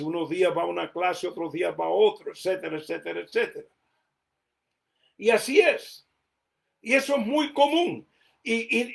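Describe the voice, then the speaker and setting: A man's voice: high voice, creaky. A Colombian-born man raised in the United States, preaching a conversational sermon with a Caribbean Spanish accent, often repeating words as he thinks. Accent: Caribbean Spanish, Cuban and Puerto Rican influenced. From Colombia, raised mostly in the United States. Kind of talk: sermon teaching.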